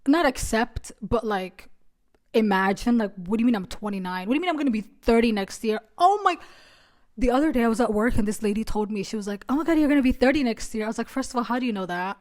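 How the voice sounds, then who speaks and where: A woman in her late twenties hosting a solo podcast, talking in a chatty, animated, self-mocking way. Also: fairly high pitch. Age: 20s. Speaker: podcast host. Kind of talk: casual solo podcast chat.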